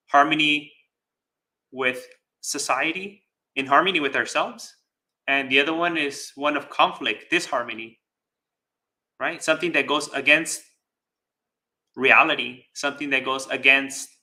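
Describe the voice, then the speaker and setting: A man giving a dharma talk as a Buddhist teacher, speaking deliberately with pauses, repeated phrases and rhetorical check-ins. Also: medium pitch, bright, forceful tone. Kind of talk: dharma talk with live chat questions.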